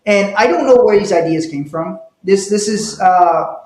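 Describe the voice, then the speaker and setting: A man's voice: high voice, normal speed, darkish tone. A middle-aged man from Liberia, a guest telling stories in a casual interview and laughing now and then. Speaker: guest, wealth manager. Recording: roomy echo.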